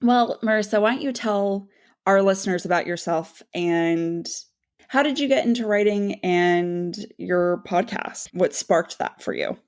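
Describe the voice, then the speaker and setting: A woman's voice: mid-range voice, measured pace, clean tone. A woman podcast co-host in casual conversation. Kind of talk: casual chat.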